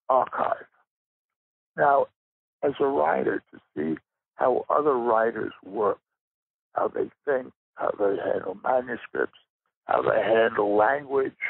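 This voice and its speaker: An older man giving a reflective interview as a guest, with a gravelly, husky voice and deliberate, halting phrasing. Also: medium pitch, no echo. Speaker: interview guest, writer and rare book dealer. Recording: thin phone-like line.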